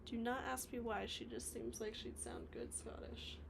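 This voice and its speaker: Woman streamer, chatty and rambling, slightly high voice, gravelly fry, noisy room behind. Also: sing-song, moderate pace. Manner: chatty, rambling, trails off into mumbled asides. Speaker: stop-motion animator streaming live. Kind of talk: casual livestream chat reading viewer comments. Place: home workspace, animating on the floor.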